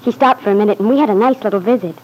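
An actress playing a sweet, anxious young wife, eager and placating, in an old-time radio soap opera. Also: female voice, mid-range voice, very fast, a little mellow, breathy edge, close to an everyday voice, low-quality recording.

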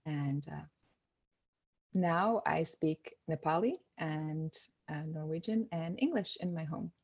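A woman, an education director, answering interview questions in a relaxed storytelling way, with fillers and restarts. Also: low voice, slow pace, slightly rough, lo-fi sound. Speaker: Montessori education director, guest.

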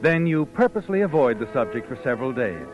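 Actor as sly, swooping old-time radio suspense narrator. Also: male voice, medium pitch, big pitch swings, quick pace, natural voice. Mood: sly, taunting. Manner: knowing, insinuating, needling rhetorical questions. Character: sly all-knowing narrator taunting a schemer. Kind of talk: 1940s radio suspense narration, second person. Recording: muffled old radio broadcast, music behind.

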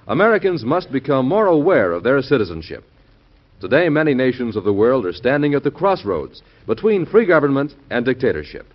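Animated male vintage radio announcer. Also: mid-range voice. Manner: earnest, oratorical. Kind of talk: scripted 1940s public service announcement. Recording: dull vintage radio recording.

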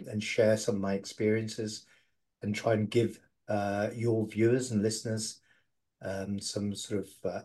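A middle-aged man speaks as an interview guest about his company. His voice sits on the low side, and he talks in a measured way, filling pauses with "uh" and "um". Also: darkish tone. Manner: measured, many filler "uh"s and "um"s. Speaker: guest, agency founder and CEO. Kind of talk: interview answers.

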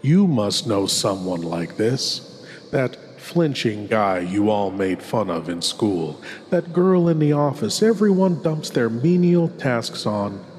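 A man hosting a horror show, delivering scripted narration in a theatrical, ominous manner with drawn-out phrasing. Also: mid-range voice, sing-song, a little mellow, slight background noise. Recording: long cavernous reverb over music. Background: music.

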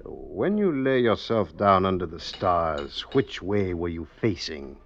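Slow, sly schemer. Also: male voice, low-pitched, sing-song, a little hiss or hum, full character voice. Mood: coaxing, sly. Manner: coaxing, halting, old-fashioned folksy speech. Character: scheming murderous man feigning kindness to a trusting lad. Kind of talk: 1950s radio drama acting. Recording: muffled old radio recording.

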